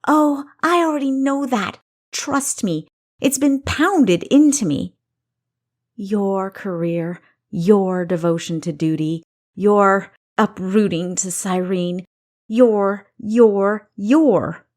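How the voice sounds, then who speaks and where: A woman's voice: on the high side, expressive, measured pace, voice pushed up. A woman reading a storybook voices a furious, sarcastic younger sister who snarls her words. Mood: sarcastic shrill.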